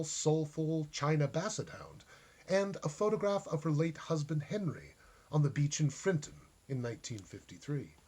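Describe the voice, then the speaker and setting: A man reading a short story aloud as an expressive narrator. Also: on the high side, husky. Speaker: story reader.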